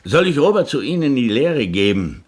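A man's voice: mid-range voice, very animated, a little fast. An actor playing a stern, sardonic merchant and father of noble birth in a 1970s German radio drama, with a dry, firm, mocking manner. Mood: sardonic, defiant.

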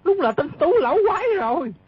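Anxious, excitable old martial artist for radio drama; quick, emphatic, very dry recording. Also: male voice, very high-pitched, husky, no echo, dull audio, exaggerated voice. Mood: alarmed. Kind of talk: dramatised audiobook reading.

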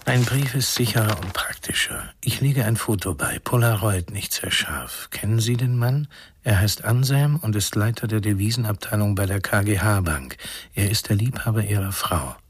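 Actor as a low-voiced, cool, defiant informant. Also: male voice, moderate pace, close to an everyday voice. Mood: businesslike. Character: cool, proud, defiant underworld informant selling secrets. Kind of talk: German radio crime drama dialogue. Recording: faint noise and background chatter.

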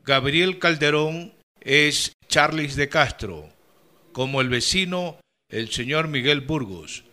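A male narrator reads a scripted radio drama in a measured, slow delivery. His mid-pitched voice is bright and projected like an announcer's. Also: animated.

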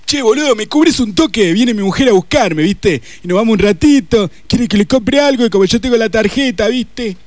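A man acts an excitable cop with an Argentine accent and a very high voice in a dry recording. He talks in loose slang, a jealous-husband type from an audio comedy sketch. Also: a little fast, few pauses, no echo. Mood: casual. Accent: Rioplatense Argentine. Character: casual male policeman, a jealous husband.

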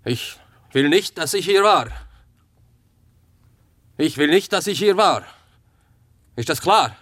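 A man's voice: a little high, animated, takes it slowly, fairly bright tone, no room sound, studio quality, exaggerated voice. A man acting in a radio drama as a secretive, brooding working man who talks tersely and insistently in colloquial words. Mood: agitated, insistent.

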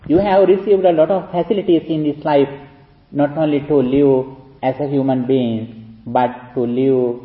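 A man, a Buddhist monk from Sri Lanka with a Sri Lankan accent, giving a slow, halting dharma talk with pauses for an interpreter. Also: on the high side, fairly even intonation. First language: Sinhala. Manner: slow, halting speech with many fillers. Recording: dull lo-fi recording.

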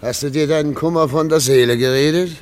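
An actor playing an older provincial governor in a radio drama, genial yet unbending, speaking in a deliberate, patronizing way. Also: male voice, on the high side, close to an everyday voice. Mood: teasing. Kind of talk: German radio drama acting.

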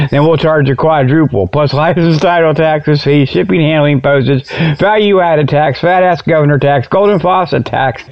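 A folksy American man rambles through a comic solo radio monologue. His voice sits a little high for a man and has a gravelly crackle. He doubles back on his words as he jokes, and the audio is slightly dull and lo-fi. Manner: folksy, jokey, stammering repetitions, chuckles at own jokes. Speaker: internet radio show host.

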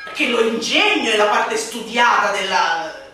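A woman giving a theatrical, mocking, declamatory recitation of a satirical text, swinging between hushed slow phrases and loud sing-song. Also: fairly high pitch, animated, over loud music. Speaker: stage performer reciting a literary monologue. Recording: very echoey room, distant mic, light noise.

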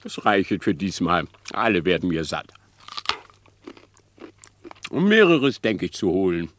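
An actor playing a sly, smug, murderous fox in classic radio drama, with an unctuous, theatrical delivery that savours each phrase. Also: male voice, sits low, sing-song, a little slow, background noise. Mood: gloating.

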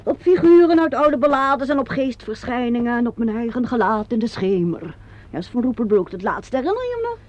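A teasing, high-voiced theatre woman, muffled recording. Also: very animated, a little fast, a little mellow. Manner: teasing, bantering, flippant. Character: self-possessed, teasing theatre woman named Liz. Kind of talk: radio drama acting. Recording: dull lo-fi radio play recording.

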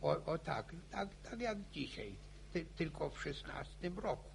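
An actor playing an old village grandfather in a radio play, spinning boastful war yarns with a gravelly, stammering, chuckling voice. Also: fairly high pitch, big pitch swings, unhurried, slight background noise, full character voice. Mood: boastful reminiscing. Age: older. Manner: chuckling folksy yarn-spinning. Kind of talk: radio drama acting.

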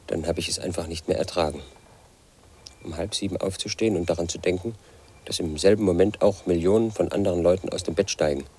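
A lonely, paranoid 38-year-old loner. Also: male voice, low-pitched, a little mellow, slightly rough. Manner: pedantic, confiding, obsessed with statistics and codes. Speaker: lead actor in a radio play. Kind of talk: first-person radio drama monologue.

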